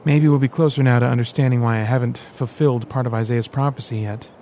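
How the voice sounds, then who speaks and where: Man doing a calm troubled leader guy, soft reflective tone, mid pitch, audiobook story. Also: fast talker, a little hiss or hum, close to an everyday voice. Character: thoughtful male rebel leader, troubled.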